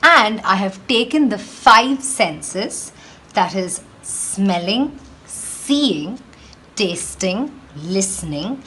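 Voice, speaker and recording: A woman's voice: medium pitch, animated, extremely slow, sharp tone. A woman with an Indian accent speaking as a schoolteacher to children, explaining instructively with emphatic rhetorical questions. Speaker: schoolteacher hosting a read-aloud for children. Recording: roomy echo, distant mic, faint noise.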